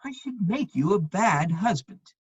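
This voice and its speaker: A woman playing a witty, aloof upper-class gentleman in a dramatic reading of a period comedy, husky-voiced, with a dry, measured, cutting delivery. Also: mid-range voice, expressive, no room sound, highs cut off. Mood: dry, sardonic.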